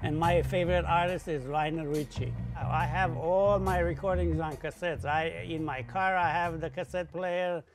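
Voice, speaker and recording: Creaky, higher-voiced man in his sixties. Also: steady tone, normal speed, hardly any pauses. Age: 60s. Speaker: cassette manufacturer, interviewee. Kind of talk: casual interview talk. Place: small factory workshop, indoors.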